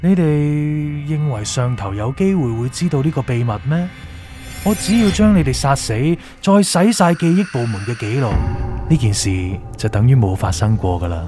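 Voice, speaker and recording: A man plays a gloating, manipulative official in a radio drama, in Hong Kong Cantonese. His mid-pitched voice swings widely as he mocks and stretches his words, dropping in English, over soft music. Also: expressive, lightly acted. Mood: menacing. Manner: theatrical, taunting, drawn-out emphasis, drops in English words. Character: gloating, manipulative villainous male official, mocking. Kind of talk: Cantonese audio drama acting.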